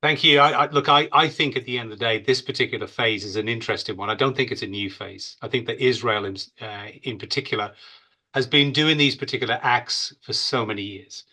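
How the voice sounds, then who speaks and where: Man, security expert guest, bright forceful voice, mid pitch, conversational, emphatic, unscripted analysis. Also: a little fast. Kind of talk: off-the-cuff expert analysis in an interview.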